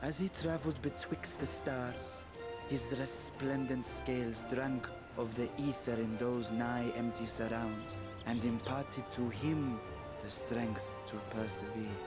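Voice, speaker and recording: A man voicing an ancient dragon in a game, solemn, dignified and eloquent, with formal archaic speech and measured delivery. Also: medium pitch, fairly even intonation, lightly acted. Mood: solemn, grave. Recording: loud music under the voice.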